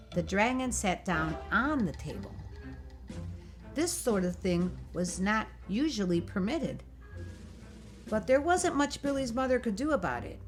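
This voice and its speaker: Woman reading a children's storybook over music. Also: medium pitch. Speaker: storyteller reading a picture book aloud. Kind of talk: expressive children's storybook narration.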